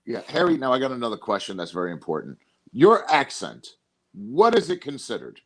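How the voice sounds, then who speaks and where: A man's voice: mid-range voice, wildly swooping pitch, brisk. A man hosting a casual podcast, rambling through long tangents and swearing freely.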